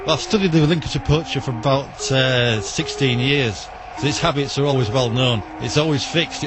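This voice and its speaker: A British man with a medium-pitched voice gives a matter-of-fact explanation as an interviewed hobbyist. He is recorded outdoors in town, with clear background noise. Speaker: shortwave radio hobbyist being interviewed. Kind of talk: informal on-location interview. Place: outdoors in an urban back alley. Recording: noisy outdoor location with radio sound.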